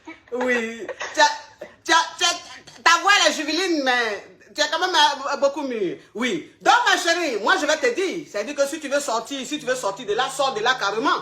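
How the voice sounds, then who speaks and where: A woman's voice: high-pitched, lively intonation, brisk, pushed and bright. A woman hosting a live advice call, blunt and repetitive, calling the caller "ma chérie". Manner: blunt, calls caller "ma chérie", repeats herself. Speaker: advice show host.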